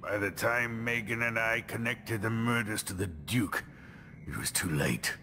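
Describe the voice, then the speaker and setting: An actor playing a weary, battered old male genius inventor in a video game, speaking gravely and haltingly. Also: lowish voice, sharp tone, long reverb tail, slight background noise. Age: older. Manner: slow, halting, grave storytelling.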